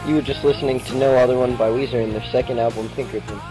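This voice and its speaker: Young man, flat monotone delivery, read-aloud review, clear music underneath. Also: medium pitch, racing, rough recording. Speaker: student podcast reviewer. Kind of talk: scripted music review narration.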